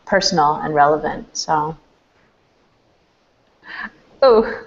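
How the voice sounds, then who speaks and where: A woman's voice: sits low, takes it slowly, gravelly. Giggly chatty woman host. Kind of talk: panel video chat. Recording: dull lo-fi sound.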